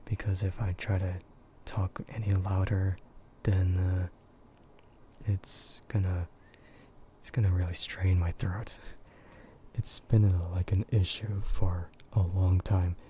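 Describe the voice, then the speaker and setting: A young man streaming, talking in a soft, half-whispered voice, hesitant and full of fillers and quiet laughs. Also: sits low, flat delivery, takes it slowly, a little hiss or hum. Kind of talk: soft-spoken ASMR chat with viewers.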